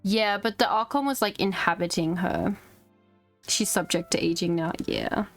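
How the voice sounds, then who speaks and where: A woman's voice: fairly low pitch, moderate pace, creaky. Casual woman streamer over faint music. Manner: informal, musing, chatty. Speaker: game streamer. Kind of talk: casual livestream game commentary.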